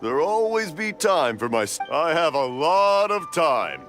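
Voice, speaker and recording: An actor playing a kindly, unhurried storyteller in a video game, lingering over words in a reassuring way. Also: male voice, a little high, big pitch swings, a bit bright, faint music behind. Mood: gentle, reassuring. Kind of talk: English video game voice acting.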